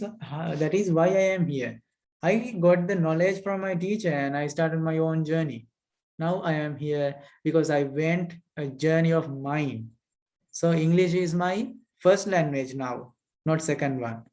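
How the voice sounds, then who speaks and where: A man's voice: on the high side, a little mellow. Sri Lankan man lecturing, keeps checking "right?". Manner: asks rhetorical questions and adds "right?" checks; repeats key points, restarts sentences. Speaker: English teacher. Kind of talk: spoken lesson, lecturing students.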